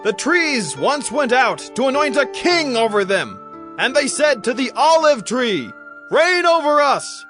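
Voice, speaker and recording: An actor playing a defiant youngest brother who proclaims a fable loudly in a dramatized scripture audio production. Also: male voice, extremely high pitch, big pitch swings, a little slow, faint music behind, heavily acted. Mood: defiant, proclaiming. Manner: loud proclaiming oratory. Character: Jotham, youngest brother, defiant storyteller crying aloud.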